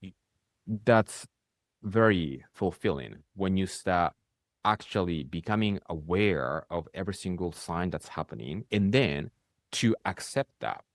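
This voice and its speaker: A man's voice: low-pitched, expressive, a little slow, dry recording, studio quality. A man with a Japanese accent, speaking English as a later language, hosting a reflective conversation and checking in with "right?" as he goes. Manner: speaks in rising bursts, often ends with "right?". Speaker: spiritual coach hosting a conversation.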